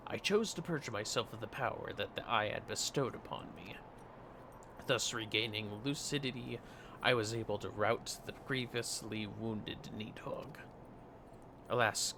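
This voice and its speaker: A man's voice: lowish voice, gravelly, background noise. A man voicing a solemn, aging retired knight, reading fantasy game dialogue in grave, formal, archaic phrasing.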